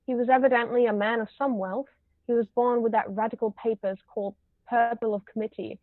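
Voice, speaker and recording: Imperious society matriarch played by a woman, high-pitched and pompous, stage comedy read-through, roomy muffled sound. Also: fairly even intonation, fairly quick, close to an everyday voice. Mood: snobbish, disapproving. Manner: pompous, formal, pronouncing judgments. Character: imperious, haughty older aristocratic English lady, snobbish interrogator. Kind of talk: amateur table reading of a stage comedy. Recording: roomy, muffled low-quality recording.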